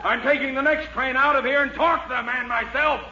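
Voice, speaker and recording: Bright, high-pitched, impatient blustering man. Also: fairly even intonation, fairly quick, full character voice. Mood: exasperated. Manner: urgent, blustery. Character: impatient, exasperated man in a frantic hurry. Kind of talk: 1940s radio comedy acting. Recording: noisy, dull 1940s radio recording.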